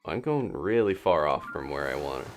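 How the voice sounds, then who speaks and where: A man's voice: very deep voice, sing-song, moderate pace, soft background music. A man giving casual live gameplay commentary, playfully exclaiming and talking to himself as he plays. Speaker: video game streamer and host.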